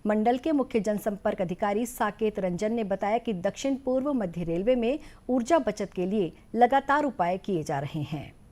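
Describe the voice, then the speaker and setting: A woman's voice: mid-range voice, lively intonation, mellow. Woman reading a formal news bulletin. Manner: formal, measured delivery. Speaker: news presenter.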